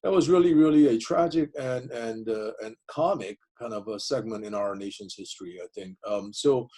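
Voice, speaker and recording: A man's voice: fairly low pitch, normal speed, dark tone, breathy edge. A middle-aged man whose first language is Korean, answering interview questions reflectively, with many fillers and restarts. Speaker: interviewee, divinity school professor.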